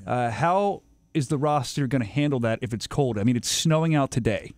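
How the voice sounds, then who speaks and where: A man's voice: medium pitch, animated, fairly quick, a little mellow, no echo. Brief: male interviewer, keen and chatty, restarts his questions a lot, dry close-miked sound. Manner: eager, rambling questions with restarts.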